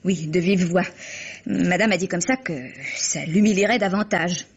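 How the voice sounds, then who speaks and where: A chatty Parisian housemaid in an old French radio play. Her voice is husky, her manner colloquial and eager to please, and the muffled recording softens every word. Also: fairly low pitch, moderate pace. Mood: gossipy. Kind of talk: 1950s French radio drama acting.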